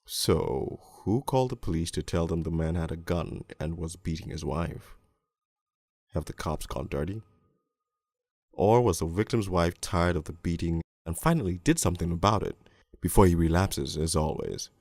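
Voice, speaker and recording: A man playing a crude, cynical police detective, narrating a crime storybook in the first person in a gravelly, world-weary, deliberate way. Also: sits low, expressive, darkish tone, dry recording, studio quality. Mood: cynical, brooding. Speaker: narrator and lead voice actor in an animated storybook.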